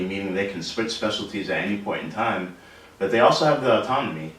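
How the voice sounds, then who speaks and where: A man from Nigeria answering interview questions in a measured, articulate way. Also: on the low side, fairly bright tone. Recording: distant mic in an echoey room.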